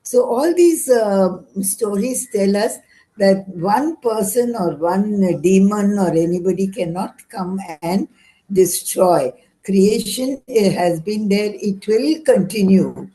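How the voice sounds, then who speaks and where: Slow, mellow Indian-accented woman. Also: medium pitch, animated, low-quality recording. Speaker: audience member. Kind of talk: appreciative spoken feedback.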